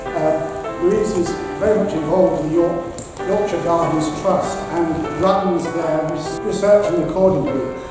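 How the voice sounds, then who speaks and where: An older man introduces a guest speaker in a halting, informal way, full of little pauses and restarts. His voice rings through a large hall with a long, trailing reverb, and music sits under it. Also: fairly high pitch. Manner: halting, with many fillers and restarts. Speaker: introducer at a society talk.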